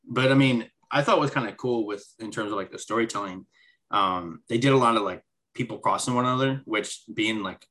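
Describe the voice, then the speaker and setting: A man's voice: on the low side, a little fast, a bit bright. A man co-hosting a podcast in casual chat, rambling through his points and slipping in dry jokes. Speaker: podcast co-host.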